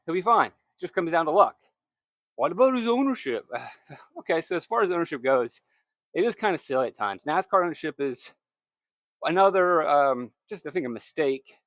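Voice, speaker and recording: A man's voice: on the high side, no room sound. A man hosting a solo fantasy racing picks show, talking in a conversational, emphatic way that corrects itself, his throat a little scratchy.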